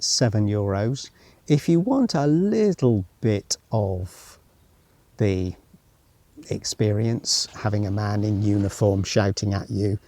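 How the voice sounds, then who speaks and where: Low, mellow-voiced man musing wryly. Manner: musing, wry asides, talking to himself. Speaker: travel vlogger. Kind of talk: unscripted walking travel commentary, partly reading signs aloud. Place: outdoors, walking around a former military town.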